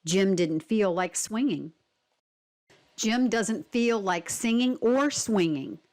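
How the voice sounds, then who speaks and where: A woman reads a children's picture book aloud at an unhurried pace. Her pitch moves around a lot as she explains things simply and puts questions to young listeners. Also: lively intonation, darkish tone. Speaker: read-aloud narrator.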